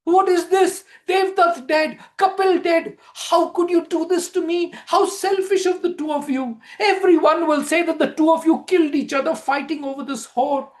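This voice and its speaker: A man's voice: extremely high pitch, fairly even intonation, normal speed, hardly any pauses, pitched above the performer's own voice. A man voicing a distraught, bold young wife in a dramatic, theatrical storytelling style. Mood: anguished, outraged. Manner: wailing, questioning, theatrical.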